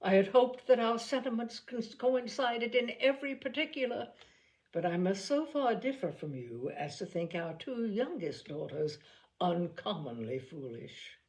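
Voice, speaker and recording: A woman voicing a dry, sardonic middle-aged father with a cool, measured, ironic manner, reading a period novel. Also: medium pitch, expressive, a little mellow.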